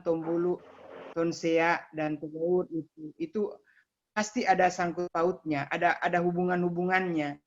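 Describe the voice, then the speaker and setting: A woman from North Sulawesi, explaining informally at a webinar, haltingly and with repeated words. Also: mid-range voice. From Minahasa, North Sulawesi, Indonesia. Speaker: webinar speaker explaining local languages. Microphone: video call. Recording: dull, lo-fi online call audio.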